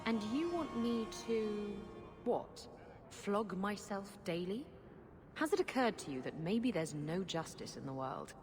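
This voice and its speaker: A woman's voice: mid-range voice, expressive, unhurried. An actress playing an unrepentant, swaggering rogue woman in a video game, breezy and sarcastic. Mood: sarcastic, defiant. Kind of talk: dramatic video game acting. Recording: long hall reverb, music behind.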